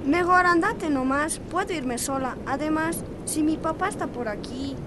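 A woman's voice: sits high, normal speed, background noise, close to an everyday voice. An actress with a Bolivian accent playing a guarded, dutiful teenage girl in a radio drama. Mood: wary, defensive.

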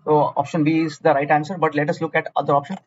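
An Indian man rattles through exam answers at a rapid clip, repeating key points and bluntly scolding wrong choices. His voice sits a little high. The voice-over sounds dull and lo-fi, with a faint hiss underneath. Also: little pitch movement. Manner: blunt, repetitive, scolding lecturing. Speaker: cloud certification tutor. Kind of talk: screen-recorded exam walkthrough.

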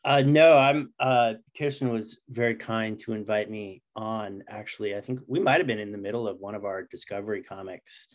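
Man, middle-aged, slightly low pitch, casual interview guest, modest, halting phrases. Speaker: guest comics writer. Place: indoor video call.

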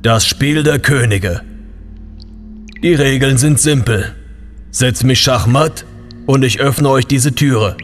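An ancient guardian of a royal tomb, acted by a man, speaking at a slow, stately pace. The delivery is pompous and archaic, as in a fantasy radio play, with faint music behind it. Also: mid-range voice, close to an everyday voice. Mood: formal challenge. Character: ancient, grandiose male guardian of a king's tomb. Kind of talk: audio drama acting.

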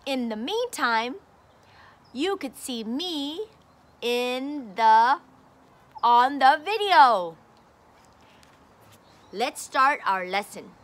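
Woman teacher outside on her phone, high voice, super slow read-aloud for a little kid. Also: animated. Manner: slow, simple, encouraging teacher talk. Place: outdoor patio by a brick wall. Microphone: phone camera at arm's length.

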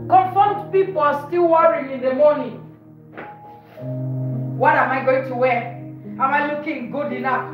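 A woman in her forties preaching a fiery sermon in her living room, repeating words and moving about, recorded on a phone a short way off. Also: high voice. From Zambia. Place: living room of a house, indoors. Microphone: phone camera on a stand at a short distance. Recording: roomy, dull lo-fi phone audio.

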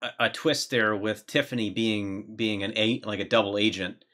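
Bright-voiced man, casual podcast co-host. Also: on the low side, steady tone, normal speed. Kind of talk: casual film discussion. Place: small home room, indoors.

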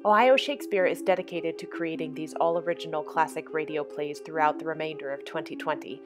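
A woman's voice: deep voice, brisk. A woman announcer reading scripted credits and announcements at the end of a broadcast. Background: theme music.

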